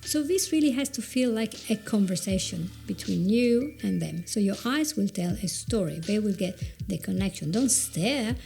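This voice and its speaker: A middle-aged woman with an Italian accent, giving video presenting tips in an earnest, chatty teaching monologue, speaking slowly with emphatic stresses. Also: medium pitch, lively intonation, creaky, darkish tone, faint music behind.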